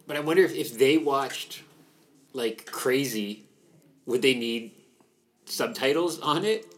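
A man with a Canadian accent chatting casually as a podcast co-host, animated and playfully nerdy. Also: mid-range voice, takes it slowly. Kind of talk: casual chat.

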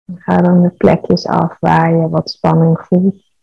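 A woman leading a guided meditation in a calm, measured, soothing manner. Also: fairly low pitch, vocal fry, husky, dry recording, muffled recording.

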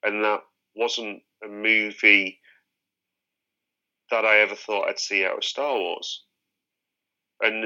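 A man on a casual podcast telling a story in a halting, reflective way. His voice sits a bit low but sounds very bright and forward. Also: poor audio. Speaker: podcast co-host. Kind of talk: casual film discussion.

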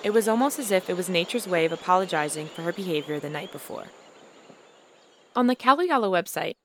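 Brief: female podcast narrator, mid pitch, dry close sound, measured with a light wry touch. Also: fairly bright tone, dry recording. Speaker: podcast host and student reporter. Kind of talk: scripted narration.

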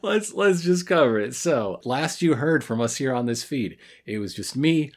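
A man chatting as a podcast co-host, with a mid-range voice that moves freely up and down. His delivery is casual and animated, full of teasing and little digressions. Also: medium pitch, normal speed. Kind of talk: casual bantering podcast chat.

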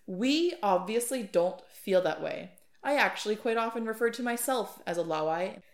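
A woman's voice: medium pitch, animated, normal speed. A woman co-hosting a podcast, asking curious questions and reading scripted lines. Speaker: podcast co-host. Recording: echoey room.

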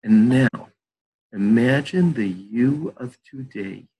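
An older man, a therapist, leading a slow guided visualization and then chatting about his practice. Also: mid-range voice, warm tone, husky, poor audio. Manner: slow soothing guided-meditation delivery.

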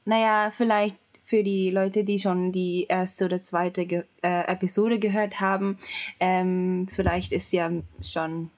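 A young woman with a Japanese accent and Japanese as her first language talks slowly in a relaxed chat. She hesitates, fills pauses with "ähm" and gropes for the right words. She laughs easily as she speaks. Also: fairly low pitch. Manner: hesitant, searching for words, many fillers; laughs often. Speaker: guest. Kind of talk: casual chat.

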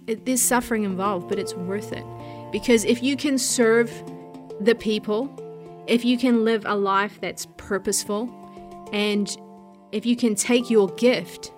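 Kiwi-born woman, 30s, Australian accent, mid-pitched, earnest host speaking with conviction over faint music. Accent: Australian-tinged New Zealand. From New Zealand. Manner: earnest, passionate, uses fillers like "you know" and "look". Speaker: news show host. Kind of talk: casual interview talk.

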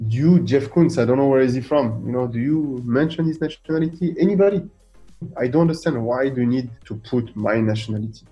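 A man's voice: medium pitch, brisk, lo-fi sound, faint music behind. A middle-aged man with a French accent, a guest artist in a radio interview, talking animatedly, firing rhetorical questions and acting out what others said. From France. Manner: animated, fires rhetorical questions, acts out quoted voices.

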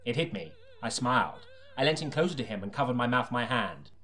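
Casting: male audiobook narrator, medium pitch, for a tongue-in-cheek noir detective story told with deadpan seriousness. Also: fast.

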